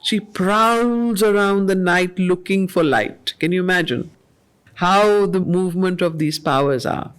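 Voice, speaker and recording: A woman leading a study circle, reading poetry aloud in a slow, measured way and repeating lines to explain them. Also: lowish voice, clean tone. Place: meeting room of a study group.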